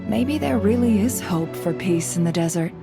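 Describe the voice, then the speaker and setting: A woman's voice: mid-range voice, animated, moderate pace, vocal fry, over music. A woman playing a composed, shrewd guild leader in a video game, with a cool, casually assured delivery. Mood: hopeful, earnest. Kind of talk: dramatic video game voice acting.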